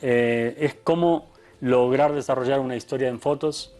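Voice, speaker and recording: A man's voice: medium pitch, steady tone, moderate pace, darkish tone, soft background music. Argentine man, faded accent, reflective interview guest. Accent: Argentine, softened. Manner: thoughtful, many restarts and tag questions. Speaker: photographer guest. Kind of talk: conversational interview.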